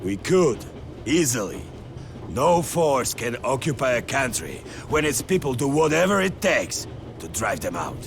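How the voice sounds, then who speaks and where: An actor playing a grim middle-aged resistance commander in a video game cutscene, terse, commanding and fervent. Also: male voice, mid-range voice, very animated, measured pace, noisy background. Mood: bitter, fervent. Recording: music behind the voice.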